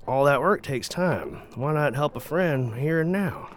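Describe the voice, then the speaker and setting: A man's voice: fairly high pitch, lively intonation, measured pace. A man playing a sly, taunting drifter in an audio drama. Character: drifter Stark, greedy and menacing. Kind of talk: radio drama acting.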